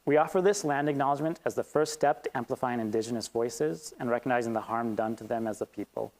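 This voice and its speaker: A man hosts a community talk evening, reading prepared remarks in a steady manner. When he thanks sponsors and introduces the guest, he talks more loosely, with a few ums. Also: mid-range voice, fast talker, rough recording. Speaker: event host. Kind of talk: reading a land acknowledgement and introducing a speaker. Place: event hall with audience.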